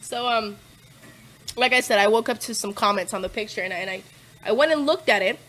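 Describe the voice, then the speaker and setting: A woman's voice: a little high, fairly quick, fairly bright tone, soft background music, low-quality recording. A woman on a podcast as the guest, chatting casually and telling stories in a laid-back, profane way, with lots of fillers and laughs. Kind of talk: casual chat.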